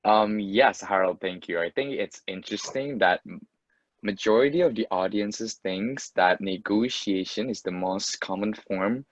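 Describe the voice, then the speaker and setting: A man moderating a webinar, politely presenting results in a measured way. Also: on the low side, no room sound. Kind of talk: presenting audience poll results. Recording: dull, lo-fi online call audio.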